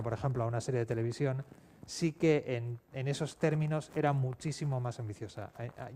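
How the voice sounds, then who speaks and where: A man's voice: medium pitch, dark tone. A man speaking informally as a writer on a discussion panel. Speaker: panelist, audio drama writer. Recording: noisy live event room.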